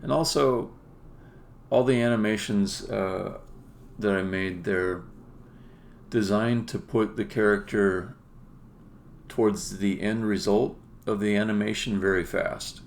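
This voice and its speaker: A man giving a casual live demo as a game developer, explaining things in halting phrases with pauses mid-sentence. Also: lowish voice, steady tone, creaky, slight background noise. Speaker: game developer, stream host.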